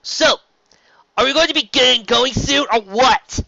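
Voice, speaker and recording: A man voices a brash female pegasus from a cartoon-style story. She is blunt and impatient, in a raised, bright voice. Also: very high-pitched, a little slow, vocal fry, no room sound, highs cut off, pitched above the performer's own voice, full character voice.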